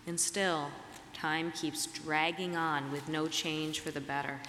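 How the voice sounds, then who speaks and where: A woman preacher delivering a reflective, measured sermon, her voice slightly raspy as she gets over a cold. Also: deep voice, steady tone, fairly bright tone. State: getting over a cold and flu. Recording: reverberant hall, clear background noise.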